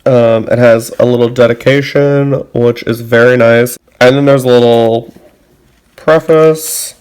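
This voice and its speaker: A man with a mid-pitched voice talks at a slow pace, as if hosting a casual review. He is unscripted and halting, often pausing partway through a thought. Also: steady tone, darkish tone. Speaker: host of a tarot deck review channel.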